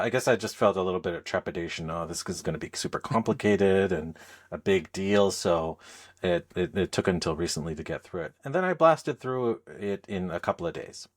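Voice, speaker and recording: A middle-aged man hosting a podcast in a casual, conversational style. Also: on the low side. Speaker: podcast host.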